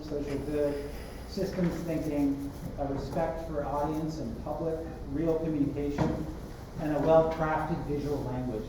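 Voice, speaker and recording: A man on the faculty introducing a guest speaker in a lecture room, talking loosely with ums and uhs, recorded from afar with no microphone. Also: fairly high pitch. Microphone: no mic, recorded from afar on a laptop. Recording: echoey room from far off, noisy.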